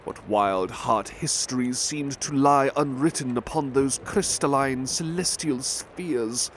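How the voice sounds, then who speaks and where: Slow, soft-spoken man reading poetry. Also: medium pitch, slight background noise. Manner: soft, lingering, expressive recitation. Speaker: ASMR butler roleplay reader.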